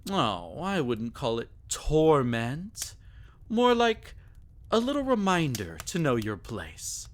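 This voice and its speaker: A man's voice: on the high side, wildly swooping pitch, slow, heavily acted. A man playing a sadistic, possessive vampire in intimate ASMR roleplay, theatrical and taunting, often dropping into a whisper. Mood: gloating. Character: sadistic, possessive male vampire toying with a captive.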